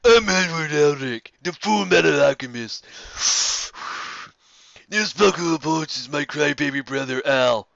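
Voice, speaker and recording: A brash teenage boy alchemist, acted by a man for a comic story reading. The voice is fairly high with heavy gravel, and each phrase comes out slowly and boldly. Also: animated, fairly bright tone, no room sound, muffled recording, heavily acted. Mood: brash, dismissive.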